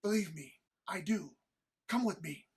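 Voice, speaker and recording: A woman playing husky, smugly menacing suave devil. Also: lowish voice, lively intonation, darkish tone, exaggerated voice. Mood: smug menace. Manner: formal, deliberate, archly sinister phrasing. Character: Old Scratch, suave devilish male fiend, smugly menacing. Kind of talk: audio drama script read-through.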